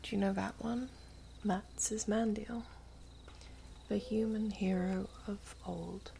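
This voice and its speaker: A woman playing an ageless, gently teasing, wistful elven maiden in an ASMR roleplay, speaking softly and half-whispered with archaic, unhurried phrasing. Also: medium pitch, steady tone, slight background noise. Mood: pensive, reverent. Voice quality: soft, breathy, half-whispered. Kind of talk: ASMR roleplay, slow intimate storytelling.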